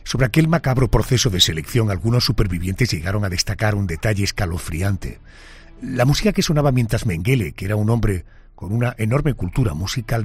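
A male host mixing measured, dramatic narration with questions to his guest. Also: mid-range voice, husky. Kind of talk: documentary narration and interview questions.

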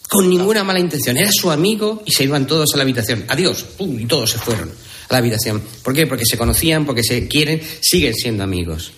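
A man's voice: medium pitch, lively intonation, quick pace, a bit bright. A man on a radio talk panel, earnest and reflective as he tells a family anecdote. His voice sits in a plain middle range.

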